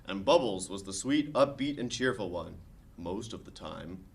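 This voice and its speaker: A man's voice: sits low, lively intonation, normal speed, gravelly. A man reading stage directions and narration aloud as the narrator of a comedy script table read.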